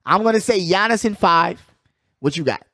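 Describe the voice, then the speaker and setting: High-pitched man hosting sports talk. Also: animated, normal speed, pushed and bright, no room sound, studio quality. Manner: emphatic, repeats phrases for effect; slangy, conversational address to listeners. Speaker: sports show host. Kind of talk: casual, opinionated sports commentary.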